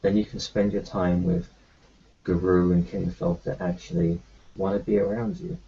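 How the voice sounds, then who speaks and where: A man roleplaying his character in a tabletop game, talking in a calm, reassuring, matter-of-fact way. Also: deep voice, normal speed, vocal fry, muffled recording.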